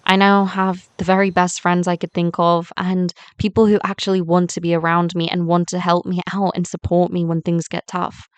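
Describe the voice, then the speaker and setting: A woman's voice: fairly low pitch, completely flat delivery, moderate pace, hardly any pauses, clear, resonant tone, no echo, lightly acted. A young woman playing a hurt, unforgiving teenage schoolgirl in an amateur voiced game series, speaking in an emphatic conversational way. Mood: hurt, accusing.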